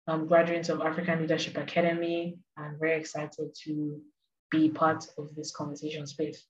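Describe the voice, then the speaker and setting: Low-voiced woman on a distant echoey call. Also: monotone, normal speed, lo-fi sound, slight background noise. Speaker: guest, contemporary photographer. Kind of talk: self-introduction on a podcast.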